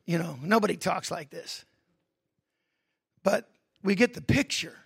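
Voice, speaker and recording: Gravelly-voiced man preaching a sermon. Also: sits high, measured pace, dry recording, clean studio sound. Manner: builds from quiet reflection to loud emphatic preaching. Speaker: pastor.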